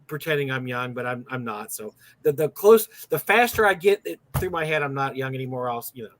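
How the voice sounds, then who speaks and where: A man's voice: medium pitch, expressive, a little fast, fairly bright tone. A man in his sixties, co-hosting a casual chat and rambling with many restarts. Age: 60s. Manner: rambling, repeats words when starting. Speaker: podcast co-host.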